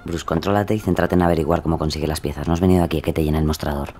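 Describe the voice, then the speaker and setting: A man's voice: very deep voice, fairly even intonation, racing, darkish tone, slight background noise. An actor playing a man's sardonic, scolding inner voice in an audio drama.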